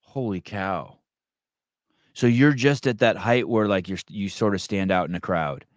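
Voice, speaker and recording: Man host with halting questions, dry sound. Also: lowish voice, no room sound. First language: Armenian. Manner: rambling, halting questions, frank. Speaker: podcast host. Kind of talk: casual interview talk.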